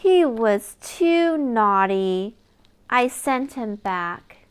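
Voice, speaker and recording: A woman reading a picture book aloud to young children in an expressive storytelling manner, with exaggerated wonder for small listeners. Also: on the high side, drawn-out words, clear, resonant tone.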